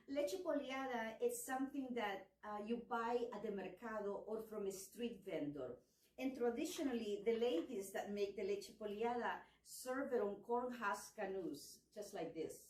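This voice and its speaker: A middle-aged woman from El Salvador walks through a recipe in a cheerful, explanatory way. Her voice sits a little high. She is recorded in an echoey home kitchen with the mic set back from her, and faint room ambience behind. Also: moderate pace, darkish tone, recorded from a distance, slight background noise. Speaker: cookbook author teaching a cooking class. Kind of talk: cooking demonstration.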